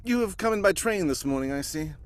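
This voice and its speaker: A man playing a composed, observant gentleman detective in old-time radio style, speaking with formal, precise courtesy. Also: sits high, lively intonation, a little fast. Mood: assured. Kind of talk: cold-read live old-time radio drama acting.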